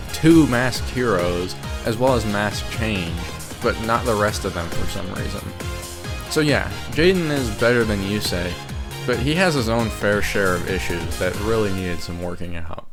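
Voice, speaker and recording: A man's voice: fairly low pitch, moderate pace. A man giving scripted, opinionated ranking commentary in a wry way, as a video creator. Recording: music behind the voice. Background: game music.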